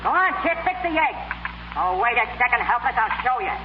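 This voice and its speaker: An actor playing a pushy, slangy Brooklyn fight manager posing as a butler, in 1930s radio comedy style. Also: male voice, very high voice, very animated, fairly quick. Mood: impatient, bossy. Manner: pushy, slangy, ungrammatical tough talk. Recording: scratchy, muffled 1930s radio recording.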